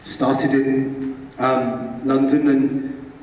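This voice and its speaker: A man's voice: medium pitch, little pitch movement, drawn-out words, darkish tone, far from the mic, a little hiss or hum. Laughing Welsh man in an echoey hall. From Wales. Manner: self-deprecating, laughs often. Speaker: guest actor. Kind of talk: convention stage Q&A. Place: large convention hall stage.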